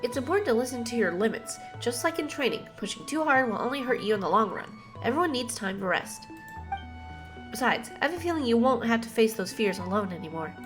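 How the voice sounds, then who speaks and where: A woman's voice: on the high side, expressive, fast, music in the background. A woman streaming a game, giving blunt casual reactions and reading dialogue aloud in an informal way.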